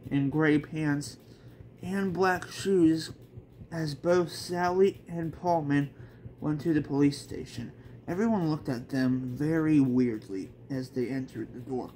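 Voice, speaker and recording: A man narrating an amateur read-along story in a halting, stop-start way. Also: on the high side, a little mellow, a little hiss or hum, low-quality recording. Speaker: amateur author reading own manga aloud.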